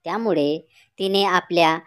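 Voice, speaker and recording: A woman's voice: lowish voice, measured pace, fairly bright tone, clear, resonant tone. A woman reading scripted narration for a children's folk tale as its storyteller.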